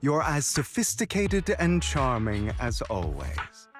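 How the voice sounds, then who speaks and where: Suave, lilting gentleman over game music. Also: medium pitch, slow pace. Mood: suave, polite. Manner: polished, formal, flattering. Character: suave, courteous, secretive gentleman ally. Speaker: game character voice actor. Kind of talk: scripted video game dialogue.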